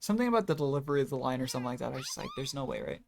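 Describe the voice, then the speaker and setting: A woman's voice: very deep voice, huge pitch swings, very fast, creaky, a little mellow, a little hiss or hum. A woman game streamer reacting casually, musing tentatively and trailing off as she goes. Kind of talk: casual live reaction commentary. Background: video game dialogue.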